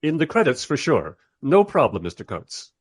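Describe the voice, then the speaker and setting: A man playing a wry, politely exasperated film director who narrates a radio play in conversational asides with a husky voice. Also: mid-range voice, huge pitch swings, normal speed, no room sound, clean studio sound. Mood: dry, amused.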